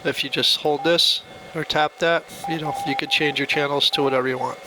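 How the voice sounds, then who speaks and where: A man's voice: fairly high pitch, fairly even intonation, brisk. A man giving a casual, plainspoken step-by-step tutorial as he demonstrates a device. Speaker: product demonstrator. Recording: faint background noise.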